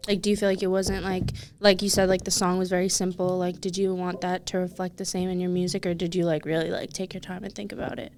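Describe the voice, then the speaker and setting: A woman's voice: fairly low pitch, little pitch movement, fast talker, few pauses, a little mellow. A woman co-hosting a podcast, asking questions in a casual, affirming way. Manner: laughs a lot, affirming. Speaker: podcast co-host. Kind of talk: casual interview chat.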